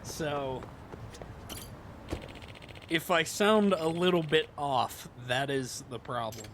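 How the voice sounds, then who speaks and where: Slow, gravelly-voiced man streaming a game. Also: fairly high pitch, animated, background noise. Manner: rambling, chuckling asides, frequent throat clearing. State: hoarse from a sore throat. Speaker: streamer playing a video game. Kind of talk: casual let's-play commentary.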